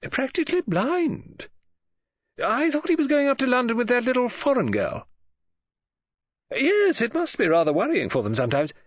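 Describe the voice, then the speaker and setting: A man voicing an excitable, scatterbrained middle-aged woman novelist in an audiobook, gushing and rambling in a flustered way. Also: very high-pitched, normal speed, pauses often, no echo, muffled recording, voice pushed up, full character voice. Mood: chatty, gushing. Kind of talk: audiobook character dialogue.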